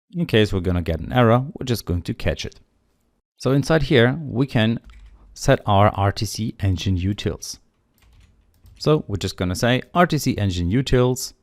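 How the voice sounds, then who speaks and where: A man's voice: fairly low pitch, gravelly, no echo, clean studio sound. A man teaching a coding tutorial, steadily explaining each step aloud while working through the code. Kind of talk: screen-recorded coding walkthrough.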